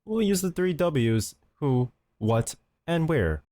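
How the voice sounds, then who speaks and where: A man's voice: on the high side, big pitch swings, takes it slowly, dark tone, dry recording. A man giving scripted instructional narration as the host of a tutorial, speaking close to the mic.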